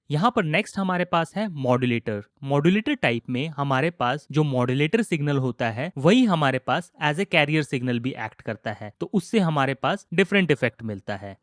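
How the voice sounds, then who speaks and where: A man with an Indian accent giving a methodical step-by-step software tutorial as an instructor. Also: on the high side, no echo, clean studio sound.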